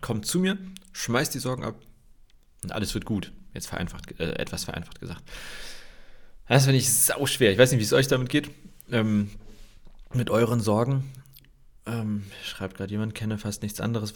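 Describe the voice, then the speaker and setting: A man with a low, gravelly voice and a slightly nasal, stuffy sound from a cold. He hosts a relaxed devotional livestream, rambling between Bible readings with plenty of fillers and self-corrections. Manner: loose and rambling, many fillers and restarts. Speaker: host of a daily Bible livestream. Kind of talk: casual devotional livestream talk.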